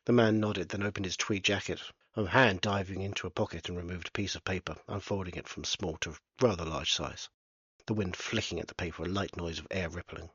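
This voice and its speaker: A man's voice: low-pitched, fairly even intonation, brisk, a bit bright, breathy edge, dry recording, dull audio. A man reading aloud from his own novel in a plain, unpolished way. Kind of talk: storybook narration.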